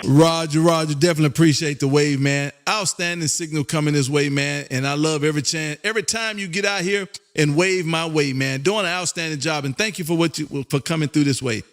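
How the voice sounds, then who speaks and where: Loud high-voiced guy from texas hosting a ham radio stream, sing-song call signs, music in back. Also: a little fast, few pauses. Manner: loud, showy, repetitive, shouts and half-sings call signs. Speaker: amateur radio operator and live stream host. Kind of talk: excited on-air ham radio chat. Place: radio room.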